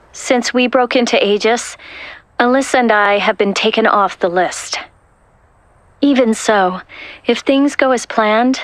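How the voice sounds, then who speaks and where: A woman's voice: mid-range voice, no echo, natural voice. A woman playing a determined young soldier turned rebel in a game, serious and firm as she explains things. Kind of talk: dramatic game cutscene acting.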